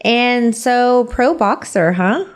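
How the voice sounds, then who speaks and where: A woman's voice: on the high side, lively intonation, slow. A woman from California hosting a podcast interview, upbeat and encouraging, with frequent fillers. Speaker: podcast host. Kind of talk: casual interview chat.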